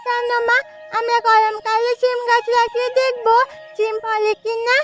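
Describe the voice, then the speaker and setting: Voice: very high voice, level tone, moderate pace, music in the background. An actor playing an eager, cheeky little village girl with a childish, inquisitive manner in a cartoon story. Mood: curious.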